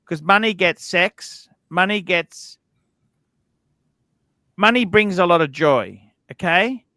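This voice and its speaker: A man's voice: sits high, animated, slow, sharp tone, dry recording. A man hosting a casual livestream panel chat, blunt and swearing, who keeps checking with "okay?". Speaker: livestream host.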